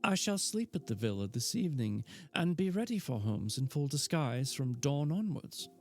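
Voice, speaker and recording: A man's voice: medium pitch, very animated, moderate pace, a little mellow, soft background music, voice pushed up, close to an everyday voice. A man reading aloud and voicing a confident, playful young woman who is an actress. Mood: eager. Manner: playful, reassuring.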